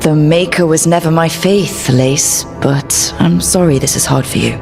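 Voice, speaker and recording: A woman playing a steady, protective, candid hero in a fantasy game, speaking in a measured, sincere way. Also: sits low, gravelly, music in the background. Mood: sympathetic.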